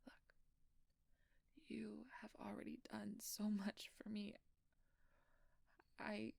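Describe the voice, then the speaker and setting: A woman playing a frightened, guilt-ridden changeling fugitive in an intimate fantasy audio roleplay, with a halting, emotional delivery. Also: mid-range voice, monotone, vocal fry, darkish tone, slightly rough, no room sound, heavily acted. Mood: hushed, remorseful.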